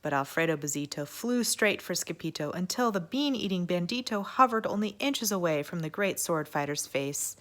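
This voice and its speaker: A woman reading a children's storybook aloud with expressive narration. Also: on the low side, normal speed, few pauses. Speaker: story reader at an elementary school.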